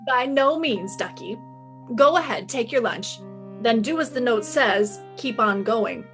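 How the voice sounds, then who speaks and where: Woman acting a sassy high-voiced lady detective. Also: expressive, a little slow, fairly bright tone, soft background music. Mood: breezy, bossy. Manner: breezy, wry, commanding. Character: sassy, confident female private detective. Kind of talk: 1950s radio detective drama, scripted.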